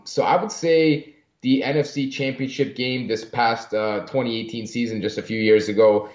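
A young man in his twenties, raised in Canada, calmly talking football as a podcast guest. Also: on the low side. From born in Germany, raised in Canada. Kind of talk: casual sports podcast talk. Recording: muffled echoey remote line.

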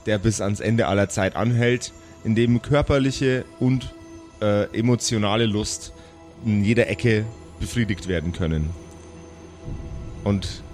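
A man's voice: on the low side, darkish tone. A man narrating an improvised tabletop story as game master, descriptive and searching for words as he goes.

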